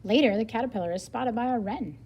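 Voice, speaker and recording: A woman's voice: a little high, fast, a little mellow, highs cut off, a little hiss or hum. A woman reading a children's nonfiction book aloud like a teacher, explaining as she goes for young learners. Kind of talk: read-aloud of nonfiction picture book with brief explanatory asides.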